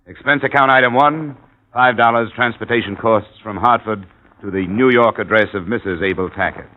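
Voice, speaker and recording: A man's voice: fairly low pitch, normal speed, lightly acted. An actor playing a cool, terse, businesslike male insurance investigator in a 1950s radio detective drama. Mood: brisk, businesslike. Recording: tinny vintage radio recording.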